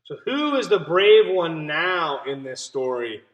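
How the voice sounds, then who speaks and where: Unhurried, higher-pitched man reading to children. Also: sing-song, lo-fi sound. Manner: explanatory, addresses young listeners. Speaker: read-aloud teacher. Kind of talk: children's story read-aloud with questions to listeners.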